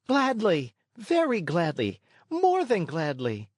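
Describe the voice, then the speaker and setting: A man voicing an eager, naive boy marionette for a storybook, pleading and excitable with a touch of whine. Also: high-pitched, slow pace, no room sound, clean studio sound, pitched above the performer's own voice, heavily acted. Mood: excited.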